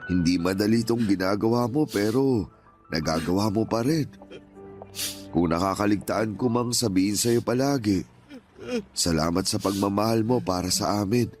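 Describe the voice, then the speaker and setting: A man acting as a gentle, hurt older father in a radio drama, pleading earnestly and emotionally with his grown child. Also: lowish voice, moderate pace, close to an everyday voice. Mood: grateful, emotional. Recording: music playing behind the voice.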